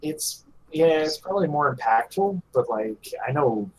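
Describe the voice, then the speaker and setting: A man's voice: a little high, animated, a little slow, crowd noise, low-quality recording. A man chatting casually as a panelist on a hobby podcast.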